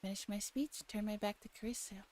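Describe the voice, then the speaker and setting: Mellow woman streamer, soft ASMR read-aloud. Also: medium pitch, fairly even intonation, brisk. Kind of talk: relaxed ASMR visual novel read-aloud. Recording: music behind the voice. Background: soft background music.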